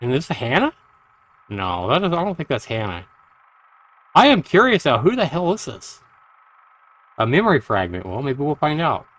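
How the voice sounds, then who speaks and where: A man livestreaming a visual novel, reading it out dramatically and deliberately with casual asides. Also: on the high side, wildly swooping pitch, a bit bright. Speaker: game streamer. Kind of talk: reading a visual novel aloud with live reactions. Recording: music behind the voice. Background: game music.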